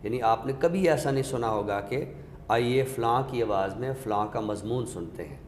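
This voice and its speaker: A man with a Pakistani accent explaining literature in a scholarly, deliberate way, often by posing questions and giving examples. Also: mid-range voice. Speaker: literary expert on a talk show. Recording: echoey hall reverb with background noise.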